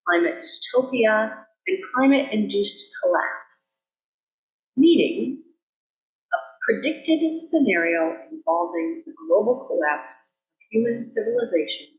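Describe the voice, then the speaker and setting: A woman minister reading a sermon in an earnest, measured way, with deliberate pauses between phrases. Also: fairly high pitch. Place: church sanctuary, indoors. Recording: roomy echo of a sanctuary.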